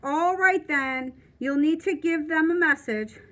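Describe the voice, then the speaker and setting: A woman reading the part of an apologetic but firm male school headmaster in a storybook, formal and brisk. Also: high-pitched, a bit bright. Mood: formal, regretful.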